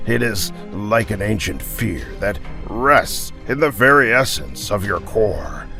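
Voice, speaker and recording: A man voicing a solemn, ancient ghostly knight leader who speaks portentously with dramatic pauses, in an audio fantasy drama. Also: on the low side, animated, gravelly, sharp tone, soft background music, pitched below the performer's own voice, full character voice. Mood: grave, foreboding.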